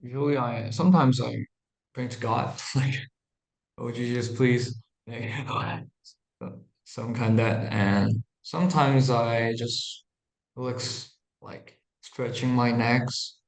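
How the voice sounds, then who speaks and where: A young adult man with a Korean accent, a singer and guest answering interview questions in halting English with short replies. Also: mid-range voice, steady tone, gravelly, roomy sound, rough recording. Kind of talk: casual interview chat. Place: radio studio.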